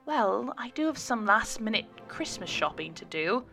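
Lady reading as a blunt pregnant woman in a story, excitable n teasing, bright crisp voice, music around. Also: fairly high pitch, normal speed, full character voice. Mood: flustered, eager. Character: pregnant woman, candid, bold and teasing, meeting former best friend.

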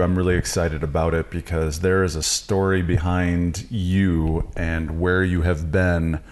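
A man's voice: low voice, darkish tone. A man hosting a casual interview, repeating his guest's words and chiming in briefly. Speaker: podcast host.

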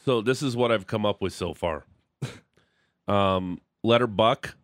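A man's voice: on the low side, unhurried, no echo. A man with an Ontario accent hosting a sports radio show, chatting casually and poking fun at himself. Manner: chatty, self-mocking. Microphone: studio mic.

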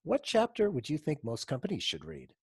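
Lively breathy-voiced podcast host. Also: male voice, on the high side, a little fast, dry recording, clean studio sound. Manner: upbeat, announcing, lifts on question numbers. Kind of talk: scripted podcast interviewing.

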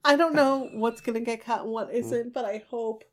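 A woman co-hosting a podcast in casual chat, excitable and quick to laugh. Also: a little high. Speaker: podcast co-host.

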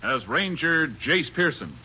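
A man making formal, measured scripted program announcements in 1950s radio style. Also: a little high, very animated, bright, forceful tone, husky. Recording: muffled old 1950s radio recording.

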